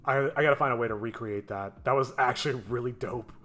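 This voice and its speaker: A man thinking aloud in casual, self-questioning, sometimes profane commentary while he edits his animated show. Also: medium pitch, quick pace.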